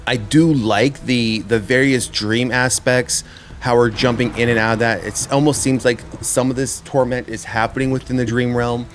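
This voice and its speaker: A man's voice: mid-range voice, steady tone, moderate pace, slight background noise. A man giving a casual spoken review as a host, rambling and often repeating his words as he works out his thoughts. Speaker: host of a horror trailer review show.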